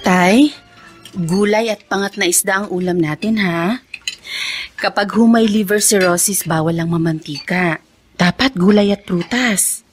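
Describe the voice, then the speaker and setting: An actress playing a gentle, devoted adult daughter with a slightly husky voice in a Filipino radio drama. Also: female voice, mid-range voice, measured pace, soft background music, close to an everyday voice. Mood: caring. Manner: gentle, reassuring.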